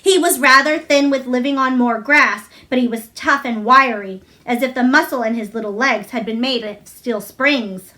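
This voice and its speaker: High-voiced woman reading a storybook. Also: normal speed, hardly any pauses, sharp tone. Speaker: read-aloud storyteller. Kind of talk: expressive storybook narration for children.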